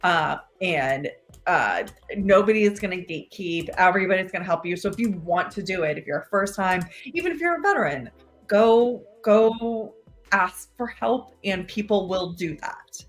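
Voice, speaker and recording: A woman chatting casually and enthusiastically as a talk show co-host, in informal, gushing language. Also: on the low side. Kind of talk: casual chat.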